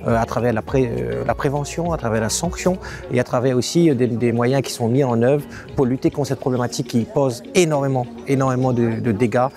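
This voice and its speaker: A man giving a spoken statement to the press in a halting way, repeating words. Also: medium pitch, mellow, soft background music.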